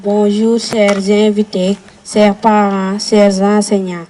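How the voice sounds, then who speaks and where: A woman making a formal address to pupils, declaiming slowly and evenly. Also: medium pitch, level tone, warm tone, slight background noise, poor audio.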